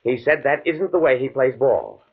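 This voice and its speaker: A man's voice: mid-range voice, sing-song, normal speed, breathy edge. A man playing a determined, fatalistic professor in a 1950s radio drama, speaking in a grave, precise way. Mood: grimly determined. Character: desperate, determined male professor, coolly fatalistic scientist. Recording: muffled, band-limited old radio recording.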